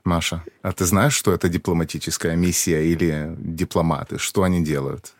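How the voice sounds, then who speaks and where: Very deep-voiced man in his thirties. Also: steady tone, moderate pace, dry recording, clean studio sound. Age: 30s. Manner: plain, explaining simply to a child. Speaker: embassy press secretary, guest. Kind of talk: casual interview.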